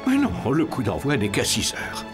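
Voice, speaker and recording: A bumbling, animated cartoon doctor. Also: male voice, mid-range voice, normal speed. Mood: hopeful. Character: bumbling, lovestruck, carefree male animal doctor. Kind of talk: animated children's cartoon dialogue. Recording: music behind dialogue.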